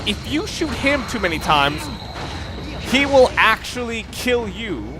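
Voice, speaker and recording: A man's voice: extremely high pitch, big pitch swings, a little slow, noisy background. A man giving casual let's play commentary in a rambling, self-deprecating way. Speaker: gaming video creator. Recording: loud game music behind. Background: video game music and sounds.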